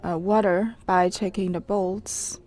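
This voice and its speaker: A woman giving spontaneous explanatory commentary, telling a story step by step in halting phrases. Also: mid-range voice, steady tone, a little mellow.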